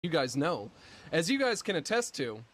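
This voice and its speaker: A man speaking haltingly with a squeaky, mucus-filled voice and shortness of breath, often slowing down mid-sentence, with dry self-mocking humor. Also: sing-song, fairly bright tone, dry recording. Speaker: video creator describing his illness. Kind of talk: personal vlog monologue.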